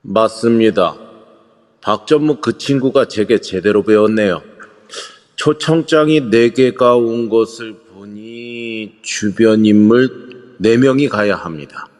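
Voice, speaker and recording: A man playing a composed male exorcist who speaks slowly and formally in an amateur audio drama. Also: on the low side. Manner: slow, measured and formal. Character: calm middle-aged male exorcist in hanbok. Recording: reverb-heavy online audio.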